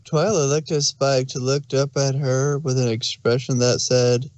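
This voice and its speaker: A man's voice: medium pitch, steady tone, a little slow, a little mellow, dry recording. A man narrating a story aloud as a scripted fan fiction reading.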